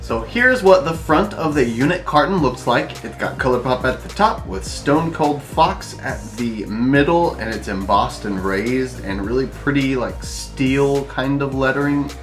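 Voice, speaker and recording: Man, nasal, congested, chatty review vlog, mid-pitched voice, faint background music. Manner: chatty and expressive, lively jumps in pitch; slow, careful listing. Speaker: beauty vlogger reviewing makeup. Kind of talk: upbeat product review vlog.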